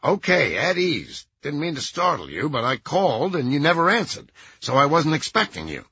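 A man voicing a blunt, matter-of-fact police detective in an audiobook, brisk and authoritative in manner. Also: fairly high pitch, huge pitch swings, bright, forceful tone, husky, dry recording, muffled recording, heavily acted. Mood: easing off. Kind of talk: audiobook character voice.

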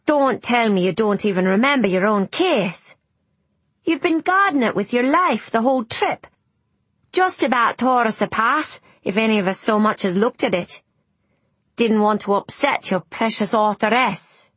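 An excitable, bossy but friendly working-class boy from a storybook, acted out by a woman. He talks bluntly and emphatically in colloquial phrases, pitched up high with a slightly husky edge. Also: normal speed, no room sound. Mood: incredulous. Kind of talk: audiobook character dialogue.

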